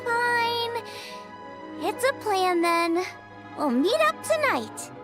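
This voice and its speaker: Tiny squeaky fairy girl for a video game, super high, bouncing all over, music under it. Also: sing-song, extremely slow, exaggerated voice. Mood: teasing. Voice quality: squeaky, childish. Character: small excitable childlike floating fairy girl companion. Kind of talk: English video game voice acting.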